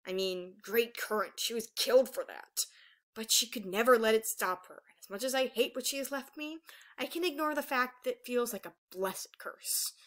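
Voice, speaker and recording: A woman's voice: a little high, moderate pace, a bit bright, lightly acted. A woman voicing a menacing old female dragon villain in a fantasy story, taunting and grandiose. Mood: gloating.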